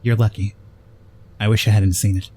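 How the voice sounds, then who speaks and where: Man playing a pushy, brisk female TV reporter. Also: lowish voice, little pitch movement, darkish tone, slight background noise, lightly acted. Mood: brisk, insistent. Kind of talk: reading game dialogue in character.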